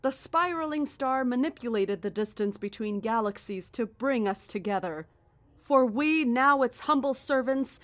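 A woman playing a serene but menacing female cult leader in a horror audio drama, speaking in a deliberate, formal, condescending and grandiose way. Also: fairly high pitch, a bit bright, no room sound. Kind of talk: horror audio drama acting, live radio address.

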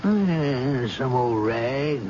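Level-headed police boss in an old radio drama, cautious and official, muffled noisy recording. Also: male voice, mid-range voice, expressive, very slow. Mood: calm, businesslike.

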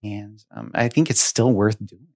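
A man co-hosting a podcast in casual, reflective talk, with a hesitant, thoughtful, halting way of phrasing. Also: lowish voice, steady tone, darkish tone, dry recording. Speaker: podcast co-host.

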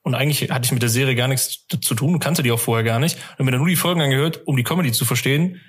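A man's voice: mid-range voice, very fast, breathy edge. A man hosting a podcast in an informal, colloquial chat with a jokey manner. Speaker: podcast host. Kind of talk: casual chat.

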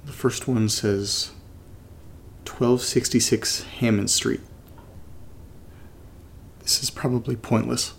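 A man playing an uneasy, reluctant character in a horror audio drama, speaking haltingly in a naturalistic monologue, as if muttering to himself. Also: medium pitch, fairly even intonation, gravelly, a little hiss or hum. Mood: uneasy, subdued. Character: uneasy, reluctant man exploring a creepy place and reading strange letters. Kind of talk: naturalistic found-recording audio drama monologue, partly reading a letter aloud.

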